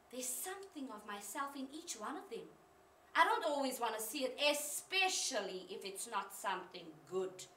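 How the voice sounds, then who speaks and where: A woman's voice: high-pitched, pushed and bright, exaggerated voice. An actress performing a dramatic monologue as a fiery, rueful sister confessing her temper to God in colloquial, emphatic speech. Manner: colloquial, emphatic, self-questioning. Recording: roomy, distant mic, faint noise.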